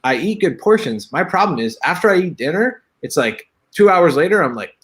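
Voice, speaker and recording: A man's voice: a little high, big pitch swings, brisk. A man from Washington State, a YouTuber guest on a podcast, teasing and talkative as he explains at length. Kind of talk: casual chat.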